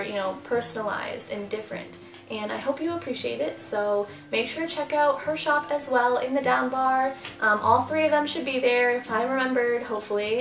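A woman's voice: on the high side, roomy sound, far from the mic, rough recording. A young woman, a mom vlogger, gushing casually about baby clothes while sitting indoors in front of a camera. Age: young adult. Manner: bubbly, gushing, lots of "super" and "like". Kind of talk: casual product haul. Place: small room indoors, seated before louvered doors.

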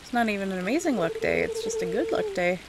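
A woman's voice: medium pitch, wildly swooping pitch, quick pace, noisy background. A woman giving casual, chatty gameplay commentary as host, often talking aloud to the game's characters. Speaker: gaming video host.

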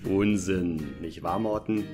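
An actor playing a genial, scheming older director and storyteller in a German audio play parody. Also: male voice, low-pitched, slow pace, music in the background. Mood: jovial, grand.

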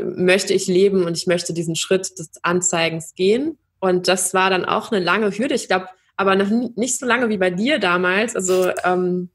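A young woman from Germany telling her own story in an interview, thoughtful, with many pauses and fillers. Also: medium pitch. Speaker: podcast guest. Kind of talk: personal interview.

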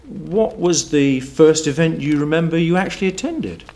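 A man's voice: on the high side, expressive, moderate pace, darkish tone. A middle-aged man putting formal, prepared questions as an interviewer. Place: wood-panelled room, indoors.

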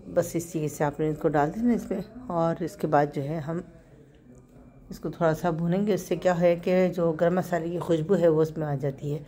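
A woman with a Pakistani Urdu accent and a hoarse, sore throat, chatting through a home recipe step by step and correcting herself as she goes. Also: low-pitched, dark tone. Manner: chatty, explains step by step with small self-corrections. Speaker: home cook host. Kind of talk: casual cooking narration.

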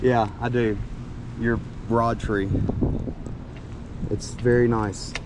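A man, chatting casually to an action camera while fishing by a lake, excitable and quick to shout. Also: mid-range voice, background noise. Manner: excitable, talks while tying lures, quick bursts of shouting. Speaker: fishing vlogger. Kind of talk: casual outdoor chat to camera. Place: outdoors by a lake.

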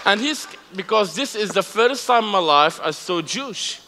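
A man's voice: sits high, expressive, normal speed, background noise. A man in his forties with an Arabic accent, telling his testimony from a church stage in broken English and acting out both sides of remembered conversations. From Palestinian, raised in Lebanon. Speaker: guest speaker. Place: church stage with live audience. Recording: reverberant hall.

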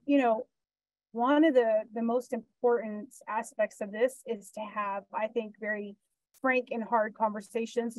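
A woman's voice: fairly high pitch, dry recording, rough recording. A woman speaking as an emergency management panelist on a video call, earnest, with many "you know" fillers. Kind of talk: panel discussion.

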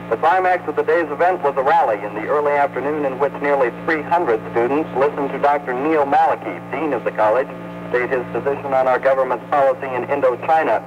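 An American man reading a scripted report as a radio correspondent around 1970. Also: mid-range voice. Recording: muffled, humming, noisy old radio feed.

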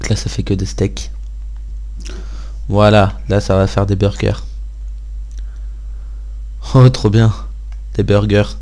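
A man's voice: lowish voice, flat delivery, slow, long pauses, mellow. A man hosting a gaming video, giving casual gameplay commentary and thinking aloud in an informal way.

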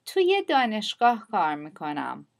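A woman speaking scripted dialogue lines for a language lesson, with crisp, lively intonation. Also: mid-range voice, rapid-fire.

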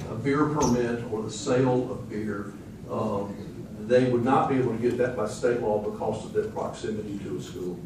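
Measured man at a podium, far off. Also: on the low side, background noise. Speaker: official at the podium. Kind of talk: explaining zoning rules. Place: large community meeting room, indoors. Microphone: phone camera in the audience. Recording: echoey hall, distant phone mic.

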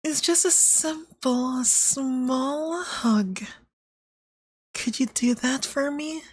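A woman voicing a possessive, manipulative young femboy yandere boyfriend in ASMR roleplay, soft, breathy and cloying, coaxing with veiled menace. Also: high voice, extremely slow, dry recording, studio quality, full character voice. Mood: doting, coaxing.

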